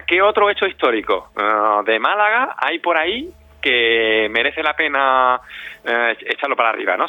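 A man's voice: mid-range voice, dry recording. A man speaks as a radio interview guest. He gropes for words and doubles back on them, in a dry, slightly muffled lo-fi recording. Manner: halting, searching for words, repeats words. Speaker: interview guest, audio fiction director. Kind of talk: spontaneous interview answers.

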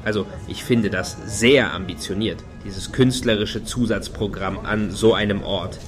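A man's voice: lowish voice, fairly even intonation, unhurried, music in the background, lightly acted. An actor playing a dry, wryly judgmental man in a satirical radio play.

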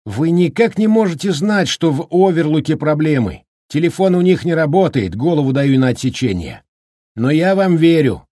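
A cheerful middle-aged snowplow driver, put on by a man reading a storybook, pitched well above the reader's own voice and louder than him. He speaks in a brisk, folksy, joking way, with a husky edge carried over from the reader, on a dry close recording. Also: sing-song, dry recording. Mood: cheery, chatty.

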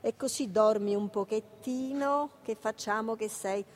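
A woman's voice: a little high, unhurried, natural voice. An actress playing a protective, weary and tender Neapolitan woman in a naturalistic radio drama, coaxing and repeating herself in a maternal way.

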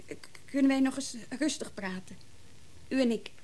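Actress as high-voiced, polite young woman. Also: normal speed, a little mellow, a little hiss or hum, close to an everyday voice. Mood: hesitant, earnest. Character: polite, eager young girlfriend working in a shop. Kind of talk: naturalistic Dutch radio play acting.

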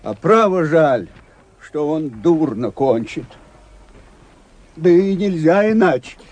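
An actor playing a proud, hurt old army staff captain in a radio play, gruff, brusque and bitter. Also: male voice, on the high side, animated, takes it slowly, a little mellow. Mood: bitter indignation. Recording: noisy old radio drama recording.

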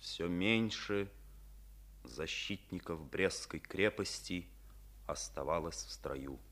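An actor giving sombre scripted narration for a 1950s Soviet radio play about war. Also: male voice, low voice, fairly bright tone.

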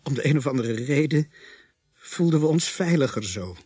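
A man's voice: mid-range voice, animated, normal speed, a little mellow, slightly rough. A man playing a grim, haunted policeman who narrates a radio play. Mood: grim, reflective.

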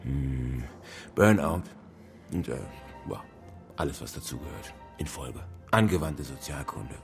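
An actor playing a resigned, educated former schoolteacher in a radio crime drama, speaking haltingly in short phrases. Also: male voice, a bass voice, expressive, faint music behind, exaggerated voice. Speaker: neighbour being questioned by police.

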